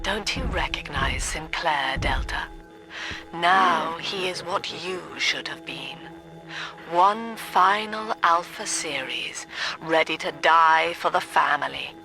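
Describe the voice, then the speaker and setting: A cold, controlling British matriarch, a video game villain who preaches in formal, measured phrases. She speaks slowly and with solemn zeal. Prominent music plays behind her. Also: fairly low pitch, low-quality recording. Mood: cold menace. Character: cold, zealous cult-leader mother, controlling and severe.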